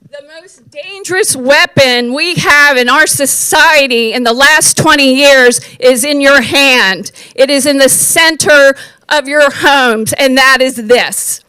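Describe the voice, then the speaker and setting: A woman from the US East Coast, speaking loudly and emphatically as a public commenter at a county board meeting. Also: sits high, slow pace, no echo, low-quality recording. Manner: loud, emphatic, forceful delivery.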